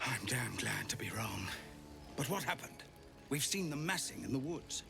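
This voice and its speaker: A man's voice: mid-range voice, sing-song, moderate pace, creaky, music in the background. A man playing an earnest, solemn leader readying a desperate defense in a video game. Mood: relieved, earnest. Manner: solemn, resolute.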